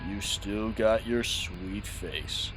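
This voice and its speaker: A man playing a friendly, easygoing railway engine in an audio drama, with a warm, cordial manner. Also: lowish voice, drawn-out words, soft background music, poor audio. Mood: familiar, easygoing.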